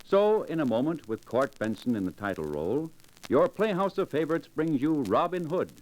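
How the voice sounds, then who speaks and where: A man's voice: a little high, sing-song, moderate pace, poor audio. A man narrating in a grand, dramatic 1940s radio announcer style, reading scripted storytelling copy. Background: loud music.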